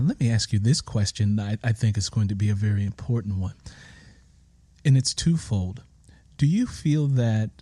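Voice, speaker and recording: A man hosting an interview in a reflective, conversational way, deliberately building and restating his questions. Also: medium pitch, mellow, dry recording. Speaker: interview host.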